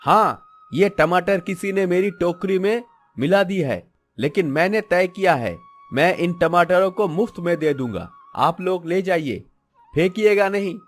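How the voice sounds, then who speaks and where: Man as an earnest, high-voiced honest cartoon shopkeeper. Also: lively intonation, faint music behind. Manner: measured, earnest, moralizing. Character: honest, principled young male vegetable seller. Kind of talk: Hindi moral-story cartoon acting.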